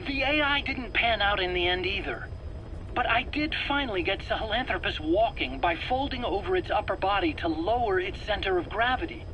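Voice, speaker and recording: Rambling game scientist, bright edgy voice, defensive, stammering, hesitant, faint background noise. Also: male voice, high voice, animated, brisk, poor audio. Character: defensive, rambling male weapons scientist under interrogation. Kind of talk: dramatic video game voice acting.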